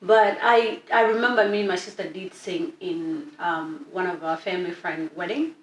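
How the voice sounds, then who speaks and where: A woman's voice: on the low side, normal speed. A young woman from East Africa, telling her story in a casual interview, chatty and full of restarts. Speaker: guest singer. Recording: echoey room, distant mic, faint noise.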